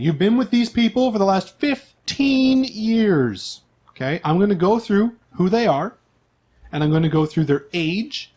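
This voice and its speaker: Higher-voiced man running a tabletop game. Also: darkish tone. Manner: joking, conversational, explanatory. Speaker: game master. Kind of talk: casual tabletop role-playing narration and explanation.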